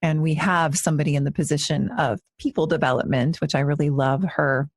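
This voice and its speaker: A woman's voice: low-pitched, fairly even intonation, fairly quick, slightly rough, no room sound. Earnest woman in her forties, dry sound. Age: 40s. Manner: earnest, reflective, with frequent restarts. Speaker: yoga teacher and podcast co-host. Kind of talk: casual podcast conversation.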